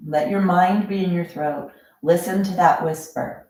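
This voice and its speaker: A woman teaching yoga breathing as a guided meditation, speaking calmly and without hurry in drawn-out instructive phrases. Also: lowish voice. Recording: very echoey room, distant microphone.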